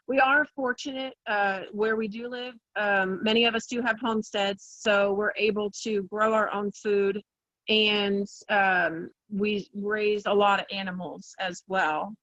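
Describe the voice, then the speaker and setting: A woman's voice: medium pitch, fairly even intonation, takes it slowly, fairly bright tone, no echo, highs cut off. A woman on an online call giving an informal personal account as an organizer of a community group. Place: online call from a rural home.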